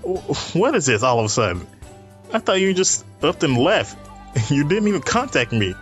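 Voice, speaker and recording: A man voicing an excitable, dramatic teenage schoolboy from a visual novel, exclamatory and stammering, with music behind. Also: fairly high pitch, moderate pace, pitched above the performer's own voice. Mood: indignant.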